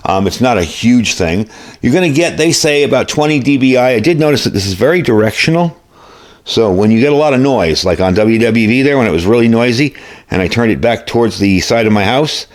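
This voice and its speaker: A man giving a casual, unscripted product review in a conversational, plain-spoken way, as the host of a hobby channel. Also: mid-range voice. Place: indoor workshop at a desk.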